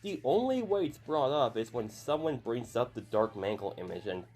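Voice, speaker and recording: A man's voice: mid-range voice, animated, normal speed, a little mellow, soft background music. A man in his early twenties with a youthful-sounding voice, talking casually as a video host with chatty, apologetic asides. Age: early 20s. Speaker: YouTuber host.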